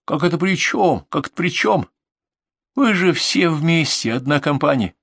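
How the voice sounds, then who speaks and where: The hot-headed young heroine of an audiobook, high and scornful, the one picking the quarrel as a male reader acts her out, in a dry booth. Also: lively intonation, measured pace, gravelly, a bit bright, breathy edge, no room sound, heavily acted. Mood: outraged. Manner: indignant, exclamatory. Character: feisty, quick-tempered young woman, stubborn and scornful. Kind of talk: dramatised audiobook dialogue.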